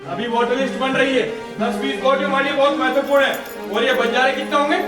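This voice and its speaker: A man's voice: very high-pitched, fairly even intonation, fairly quick. An actor playing a menacing, power-hungry village strongman politician on stage, with a domineering, rhetorical way of talking. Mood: commanding. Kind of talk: projected stage acting. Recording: distant echoey theatre hall with music.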